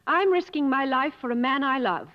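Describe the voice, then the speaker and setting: A strong-willed, wealthy lady in an old radio drama. Her voice sits on the high side, and she speaks formally and crisply, ready to challenge. The broadcast sound is somewhat dull. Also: expressive, moderate pace, in a large hall. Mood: indignant. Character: proud, strong-willed, wealthy woman on safari. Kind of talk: 1950s radio drama acting.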